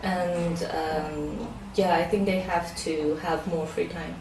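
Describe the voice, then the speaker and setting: Slow, hesitant woman, Farsi native speaker. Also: on the low side, steady tone, a little mellow, a little hiss or hum. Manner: hesitant, many ums and restarts. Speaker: test candidate. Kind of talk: spoken exam answers. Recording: echoey room, mic far off.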